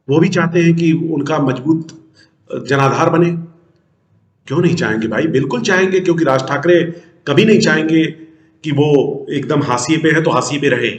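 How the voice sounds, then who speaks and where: A man hosting a news show, giving emphatic political commentary to viewers and posing rhetorical questions that he answers himself. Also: on the high side. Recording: roomy, echoing sound.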